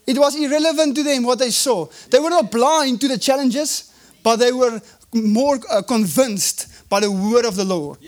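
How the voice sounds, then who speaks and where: A South African man whose first language is Afrikaans preaches a sermon in a strikingly high-pitched voice. He leans hard on key phrases, drawing them out slowly, and often restarts his sentences mid-thought. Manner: preaching with emphatic drawn-out phrases and frequent restarts. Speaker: pastor.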